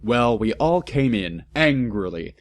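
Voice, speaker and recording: A man's voice: mid-range voice, sing-song. A man giving a comic, mock-serious dramatic reading of badly written fan fiction, keeping its mistakes and adding asides. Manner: mock-serious, deliberately reads out misspellings and mistakes.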